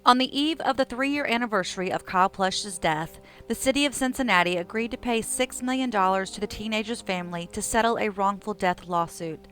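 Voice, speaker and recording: A woman's voice: medium pitch, fairly bright tone. Female narrator for a scripted documentary, mid-range voice, light music bed.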